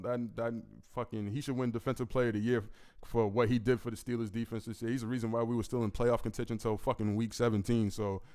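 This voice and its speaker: Young dude w a deep gravelly voice, chill and cussing a lot, answering fan q's, smooth resonant sound. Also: male voice. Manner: laid-back, profane, keeps asking "you know what I mean"; commanding, projecting delivery. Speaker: musician answering fan questions. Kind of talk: casual solo Q&A.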